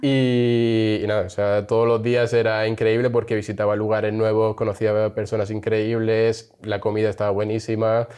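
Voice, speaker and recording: A man's voice: fairly low pitch, flat delivery, moderate pace, hardly any pauses, a little mellow, smooth. A young man from Spain, chatting casually as an interview guest and laughing often. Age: young adult.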